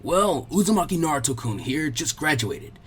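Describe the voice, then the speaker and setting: A man's voice: a little high, very animated, moderate pace, breathy edge. Man playing a genial, crafty old leader. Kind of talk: fan fiction audiobook character voice.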